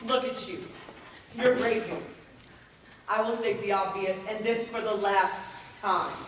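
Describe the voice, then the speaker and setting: A woman's voice: mid-range voice, fairly even intonation, a little slow, a little hiss or hum, natural voice. A woman on a school stage playing a stern, controlling asylum doctor with a formal, authoritative manner. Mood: cold, threatening. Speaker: student stage actor. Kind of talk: high school stage play acting. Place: large indoor stage, far mic. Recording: echoey stage recorded from far off.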